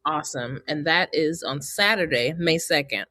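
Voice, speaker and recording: A woman's voice: deep voice, moderate pace, bright, forceful tone, no echo, clean studio sound. An American woman hosting a podcast in a chatty, informal way, speaking straight to her listeners. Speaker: podcast host. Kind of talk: casual podcast hosting and interviewing.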